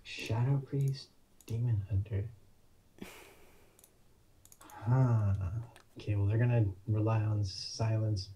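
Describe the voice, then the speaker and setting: A man's voice: on the low side, steady tone, takes it slowly, pauses often, dark tone. A man on team voice chat, muttering and thinking aloud as he plans his moves and reacts to the fight. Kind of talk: casual gaming chat and strategy talk.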